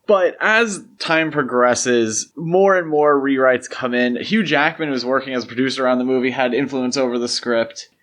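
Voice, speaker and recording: A man's voice: mid-range voice, fairly bright tone. A man hosting a podcast, talking through film history in a casual, conversational style. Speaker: podcast host.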